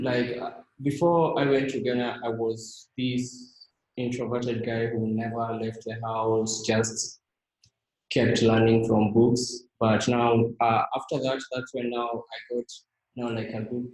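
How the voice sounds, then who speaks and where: A young man from Kenya talks through his slides at an unhurried, slow pace, stopping often to restart with fillers. His voice sits mid-pitch, and the room around him rings with noticeable echo. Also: level tone, darkish tone. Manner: halting, many fillers and restarts. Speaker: team member presenting himself. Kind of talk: casual slide presentation.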